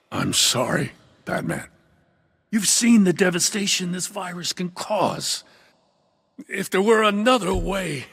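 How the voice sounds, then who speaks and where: An actor playing a weary, remorseful policeman in a video game, grave, earnest and halting. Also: high voice, animated, vocal fry, a bit bright, breathy edge, full character voice. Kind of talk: dramatic video game voice acting. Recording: long echoing reverb.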